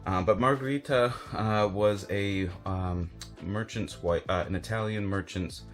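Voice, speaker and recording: American male book reviewer, low-pitched, casual and meandering delivery, faint music underneath. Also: unhurried. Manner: rambling, hedging, thinking aloud. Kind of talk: casual chat to camera about books.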